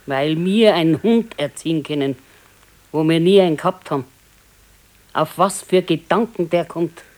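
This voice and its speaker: A woman's voice: low voice, moderate pace, a little mellow, close to an everyday voice. An actress playing an old grandmother and grumbling, practical wife in a radio play, speaking tersely and dryly with a Bavarian accent. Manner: terse, matter-of-fact, dryly contradicting. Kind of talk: naturalistic radio play dialogue.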